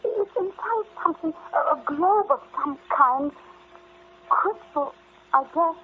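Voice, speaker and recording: High-pitched, anxious woman explorer. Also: animated, takes it slowly, heavily acted. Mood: anxious, curious. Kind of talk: old-time radio horror drama acting. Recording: muffled, noisy vintage radio recording.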